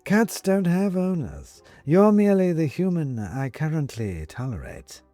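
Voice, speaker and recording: A man voicing a sly, predatory house cat that taunts its prey in a slow, condescending drawl. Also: on the high side, big pitch swings, mellow, dry recording, faint music behind, pitched above the performer's own voice.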